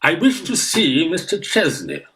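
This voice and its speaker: Radio farce: male actor as stiff, indignant older guardian, fairly high voice, slow, lively delivery. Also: exaggerated voice. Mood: pompous impatience. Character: pompous, irritable older Victorian guardian uncle. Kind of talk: Victorian farce radio acting.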